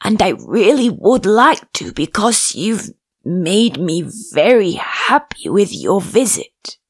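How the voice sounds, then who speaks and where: A woman voicing a huge, ancient, kindly male fish who talks slowly in a deeper voice. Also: very animated, slightly rough, no echo, clean studio sound, exaggerated voice. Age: older. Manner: slow, deep, drawn-out.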